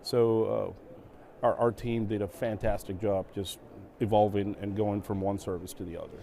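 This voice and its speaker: A middle-aged man from Venezuela speaks on a conference stage as an interview guest. His voice is a little low and warm, and he talks in a relaxed way, his English, which is not his first language, full of fillers and restarts. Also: normal speed, creaky. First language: Spanish. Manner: relaxed, with many fillers and repeated words. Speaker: guest, operations executive.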